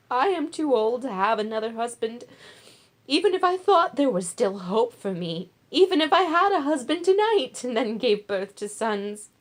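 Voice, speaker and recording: A woman plays a bitter old widow from a Bible story. Her voice is pitched up and slow, pleading with heavy, impassioned emphasis on every phrase. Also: exaggerated voice. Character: grieving, bitter older widowed mother-in-law pleading.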